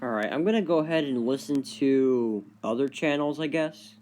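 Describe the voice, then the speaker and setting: A man's voice: medium pitch, lively intonation, moderate pace, background noise. A man chatting casually as a video creator, with informal, hesitant and repetitive phrasing. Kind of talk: casual chat, reacting to radio channels.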